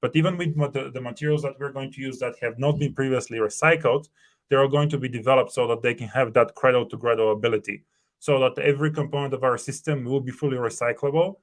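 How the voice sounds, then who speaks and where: A man's voice: medium pitch, fairly even intonation, fast. A man in his thirties whose first language is Bulgarian, an earnest startup co-founder thinking aloud with many fillers and restarts on a video call from home. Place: home room.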